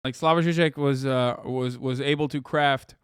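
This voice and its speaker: A man's voice: mid-range voice, fairly even intonation, moderate pace, clean tone, no room sound, clean studio sound. A man in his thirties hosting a live stream, reacting to an article with profane, sarcastic, heated rants. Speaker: streamer host.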